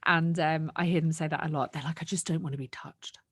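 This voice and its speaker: A woman's voice: sits low, fairly even intonation, brisk, breathy edge, no echo, studio quality. A woman in her thirties hosting a podcast from home on a big desk microphone, talking earnestly and emphatically. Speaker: podcast host. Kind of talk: casual conversational interview. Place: bedroom at home. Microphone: large desk microphone.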